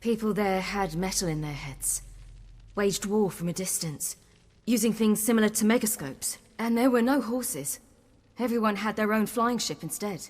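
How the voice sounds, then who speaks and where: Woman doing a young warrior on the run, video game, husky voice, earnest but wry, lots of reverb. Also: mid-range voice, a little mellow, lightly acted. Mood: reflective, wry. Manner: measured, earnest storytelling with dry wit. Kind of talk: video game dialogue acting.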